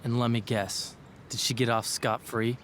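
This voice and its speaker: A man's voice: medium pitch, flat delivery, moderate pace, a bit bright, slight background noise, lightly acted. A man playing an earnest, hopeful teenage boy, friendly and a little tentative, in a video game cutscene. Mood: curious, wry.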